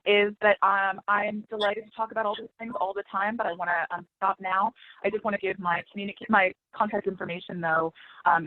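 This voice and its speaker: A woman's voice: mid-range voice, no room sound. Lady talking on a webinar call, lots of ums and restarts, tinny and dry sound. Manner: hesitant, many fillers and restarts. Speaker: webinar presenter, researcher. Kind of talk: casual presentation. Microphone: video call. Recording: tinny phone-like line, dry.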